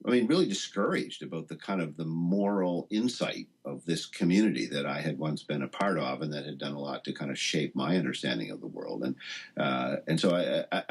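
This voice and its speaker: Very deep, husky man, halting. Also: animated. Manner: halting, searching for words, rephrasing often. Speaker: interview guest, writer of a satire piece. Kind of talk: reflective interview conversation.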